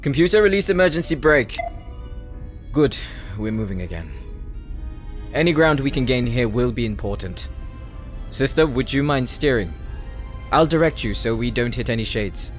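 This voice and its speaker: A man's voice: mid-range voice, expressive, normal speed, long pauses, lightly acted. Guy playing a snooty nerdy paladin, formal n precise, condescending, audio roleplay w music behind. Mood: focused, directing. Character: proud, bookish male inventor-paladin, haughty elder brother. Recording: voice over background music.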